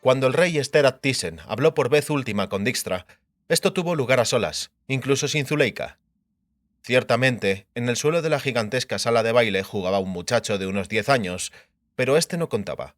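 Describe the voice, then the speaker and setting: A man's voice: medium pitch, lively intonation, moderate pace, no echo. Male audiobook narrator, dry close sound. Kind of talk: scripted literary narration.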